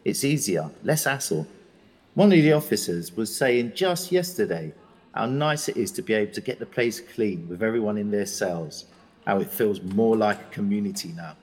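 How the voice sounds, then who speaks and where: A bitter, husky English prisoner. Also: male voice, on the low side, animated, moderate pace, in a large hall. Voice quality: bitter, urgent. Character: male prisoner, frustrated, bitter, outspoken. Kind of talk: audio drama monologue.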